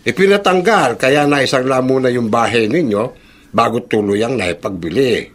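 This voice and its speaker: A man's voice: mid-range voice, animated, measured pace. An actor playing a chatty old male Filipino neighbour, folksy and gossipy, in a radio drama. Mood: gossipy, pitying. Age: older.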